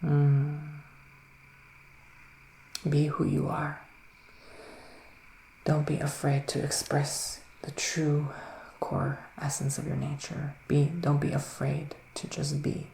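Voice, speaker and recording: Male ASMR witch, whispery and reassuring, gravelly fry, unhurried and soothing, roomy sound. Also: a little high, monotone, a little hiss or hum. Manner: soft-spoken, soothing, unhurried, with pauses. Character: gentle, reassuring male witch giving psychic readings. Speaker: ASMR performer playing a psychic reader.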